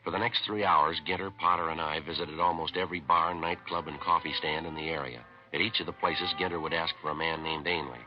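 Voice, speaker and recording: Very deep-voiced, clipped radio police detective man. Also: fairly quick, few pauses, faint music behind. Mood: flat, matter-of-fact. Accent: American. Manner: clipped, deadpan, matter-of-fact. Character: hard-boiled male police detective, terse and no-nonsense. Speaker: lead actor and narrator. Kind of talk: 1950s radio police drama acting and narration. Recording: muffled vintage 1950s radio recording.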